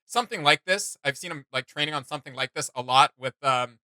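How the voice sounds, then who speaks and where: A man's voice: a little high, quick pace, pushed and bright, dry recording, studio quality. A man hosting a reaction video, chatting casually in an enthusiastic, rambling fan's manner. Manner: enthusiastic, rambling fan talk. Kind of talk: casual chat.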